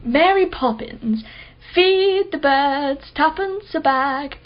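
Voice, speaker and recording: A woman's voice: high-pitched, animated, takes it slowly, highs cut off. A woman playing a wealthy, sarcastic customer in a radio play, with a wordy, theatrical way of talking. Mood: playfully sarcastic.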